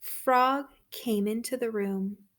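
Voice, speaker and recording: Slow, high-voiced woman reading a storybook. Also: a bit bright, a little hiss or hum. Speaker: storybook reader for third graders. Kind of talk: read-aloud storytelling for children.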